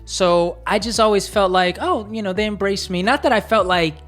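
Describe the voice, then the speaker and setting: A woman's voice: mid-range voice, moderate pace, soft background music. A woman from the United States co-hosting a casual chat, speaking reflectively in long, searching sentences full of fillers. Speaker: co-host.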